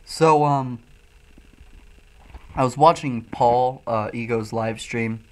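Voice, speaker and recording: A man from Illinois with a Midwestern accent, loosely and profanely talking through chat comments on a livestream while high. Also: slow pace. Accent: Midwestern American. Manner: loose, profane, frequent ums and uhs. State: high, coughing from smoking. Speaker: streamer reading chat.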